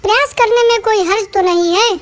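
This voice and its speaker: Woman doing a squeaky eager boy rabbit. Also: a little fast. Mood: earnest. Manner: eager, helpful. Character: small eager male rabbit, helpful ex-spy. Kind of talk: cartoon voice acting.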